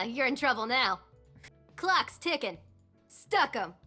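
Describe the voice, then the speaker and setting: A tiny, cheerful fantasy gunner for a video game. The voice is extremely high and bright, with lively swoops. Every line is a cocky, punchy battle quip, delivered with feisty confidence. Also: female voice, slow, soft background music, exaggerated voice. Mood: mischievous. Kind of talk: video game character voice lines.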